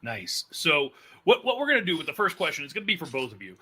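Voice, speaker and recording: A man hosting a casual interview show in a chatty, animated manner. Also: on the high side, quick pace, slightly rough. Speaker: podcast host and executive producer.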